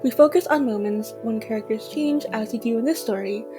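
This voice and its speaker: A woman's voice: on the high side, brisk. A young woman reading scripted narration as the student narrator of an audio drama. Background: music behind the voice.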